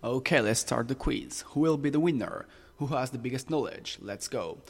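A teenage boy hosting a school radio quiz, reading questions in brisk bursts. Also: medium pitch. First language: French. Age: teen. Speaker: quiz host. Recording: reverberant room with music behind.

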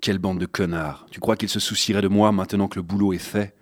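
A man's voice: deep voice, fairly even intonation, fast, dry recording, studio quality. A man voicing an edgy, scornful young hacker on the run in an audiobook, curt and foul-mouthed. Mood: bitter, scornful.

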